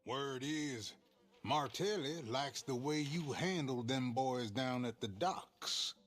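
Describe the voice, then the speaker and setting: An actor playing a sly, rough Old West outlaw with an American Western accent, husky and conspiratorial, in a game cutscene. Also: male voice, medium pitch, slow pace, faint music behind, close to an everyday voice. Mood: scheming. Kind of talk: video game cutscene acting.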